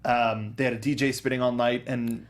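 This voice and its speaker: Man, podcast co-host, eager fan, chatty and rambling with plenty of ums, mid pitch. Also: flat delivery, moderate pace. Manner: enthusiastic, rambling with fillers and restarts. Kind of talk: casual chat.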